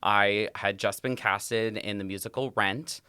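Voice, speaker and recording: A chatty, expressive man around thirty, his voice bright and smooth with a slightly low pitch. He talks freely as a studio guest, recorded dry and close. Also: dry recording. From Toledo, Ohio, USA. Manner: chatty and expressive, quick to laugh. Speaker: podcast guest, drag performer and hair salon manager. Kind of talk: casual interview chat.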